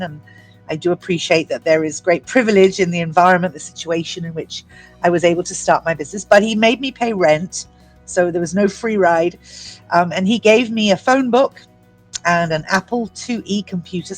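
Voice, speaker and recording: A woman in her fifties, from the UK with an English accent, telling her story as an entrepreneur in a measured, articulate way. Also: lowish voice, rough recording. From United Kingdom. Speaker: guest entrepreneur. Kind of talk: prepared personal story.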